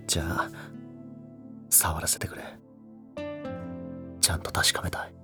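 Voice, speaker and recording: A man acting a tall young lover in a Japanese voice drama, speaking casually, softly and intimately, devoted and a little pushy. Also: unhurried, whispered, music in the background, natural voice. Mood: hushed, pleading. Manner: casual masculine speech, soft and intimate.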